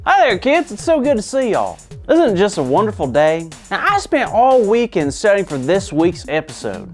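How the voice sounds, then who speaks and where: A woman's voice: medium pitch, big pitch swings, normal speed, darkish tone, faint music behind, natural voice. A woman voicing a goofy, overexcited male host of a children's Bible show, easily amazed. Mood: excited.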